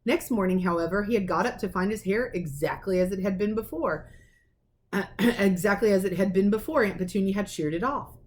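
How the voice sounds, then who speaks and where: A woman reading a children's novel aloud as a teacher would, in an expressive storytelling manner. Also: medium pitch, brisk. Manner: animated, acts out dialogue.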